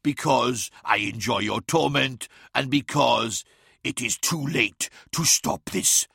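A man voicing a monstrous, gloating tempter in an audiobook, taunting and prophetic with drawn-out words. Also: mid-range voice, creaky, bright, forceful tone, husky, no echo, clean studio sound, heavily acted. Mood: gloating menace. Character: monstrous male void predator, mocking, gloating tempter. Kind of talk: dramatic audiobook character acting.

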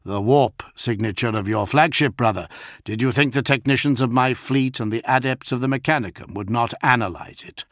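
A man voicing a weary male commander who reasons in a measured, firm manner. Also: mid-range voice, fairly bright tone, dry recording, studio quality, pitched above the performer's own voice, close to an everyday voice.

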